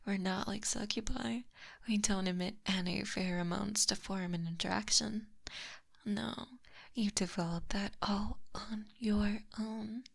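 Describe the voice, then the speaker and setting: Lamia captor played by a woman: breathy, softly menacing, teasing; slow close-mic ASMR delivery with some vocal fry. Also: medium pitch, fairly even intonation. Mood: smug, teasing. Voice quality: breathy, whispery. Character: possessive, smugly teasing female lamia snake monster captor. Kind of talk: intimate ASMR roleplay. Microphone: close binaural microphone.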